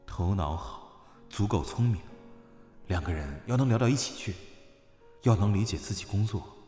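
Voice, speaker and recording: An actor playing a young male general around thirty in a modern audio drama, familiar and musing, with a breathy voice. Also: on the low side, lively intonation, normal speed, mellow, faint music behind, lightly acted. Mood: musing, troubled.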